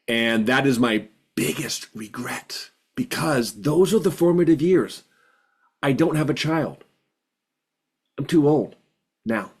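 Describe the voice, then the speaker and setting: A man in his fifties who stutters, sharing earnestly and reflectively in a group video call, repeating words for emphasis. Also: mid-range voice. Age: 50s. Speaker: support group participant.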